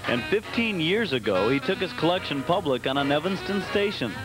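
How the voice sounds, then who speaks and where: A man's voice: fairly high pitch, very animated, fairly quick, music in the background, poor audio. A man reading scripted narration as the reporter of a magazine show feature.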